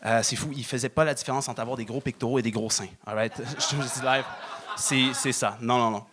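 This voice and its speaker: A young man doing stand-up on a club stage, deadpan, stalling with fillers. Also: medium pitch, noisy background. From Moroccan family. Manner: deadpan, halting with many fillers. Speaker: stand-up comedian. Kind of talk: stand-up set.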